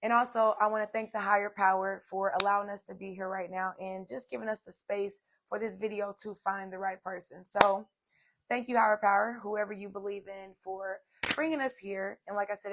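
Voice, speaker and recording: A woman hosting a solo inspirational talk, her voice slightly hoarse, chatty and direct, addressing viewers as "y'all.". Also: mid-range voice, fairly even intonation, moderate pace, very noisy. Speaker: podcast host. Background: soft music playing.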